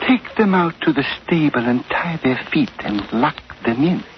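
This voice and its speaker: Man playing an imperious, high-pitched radio villain. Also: expressive, moderate pace, natural voice. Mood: cold command. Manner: formal, precise, imperious. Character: haughty, commanding villain in a remote cabin, coolly polite. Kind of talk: 1940s radio adventure acting. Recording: dull, faintly noisy vintage radio recording.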